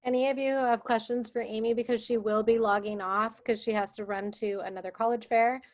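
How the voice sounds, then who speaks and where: Woman, slightly high voice, brisk webinar moderator, video call. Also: fairly even intonation.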